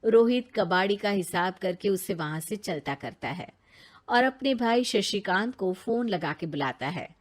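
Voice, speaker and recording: A woman narrates a cartoon storybook in an even, matter-of-fact tone. Her voice sits at an average pitch for a woman. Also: a little slow.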